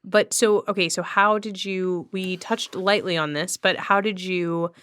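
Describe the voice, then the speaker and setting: A woman's voice: fairly low pitch, steady tone, a little slow, fairly bright tone, clean tone. A woman hosting a podcast, interviewing in a casual, conversational way and informally reworking her phrases as she goes. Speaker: podcast host.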